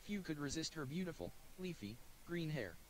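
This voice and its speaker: A computer-generated male voice narrating a visual novel in a flat, even reading. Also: a little high, normal speed, a little hiss or hum, rough recording. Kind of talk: text-to-speech game narration.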